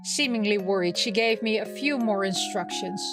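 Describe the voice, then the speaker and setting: A woman's voice: medium pitch, moderate pace. A woman streaming a visual novel, chatting casually and reading the game text aloud. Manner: reacts aloud with small interjections. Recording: music playing behind the voice. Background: game music.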